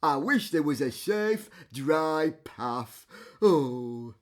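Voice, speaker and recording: A woman's voice: low-pitched, animated, very slow, heavily acted. A woman voicing a forlorn dog in a children's storybook, pleading in a whining way. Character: forlorn dog stuck beside a bog.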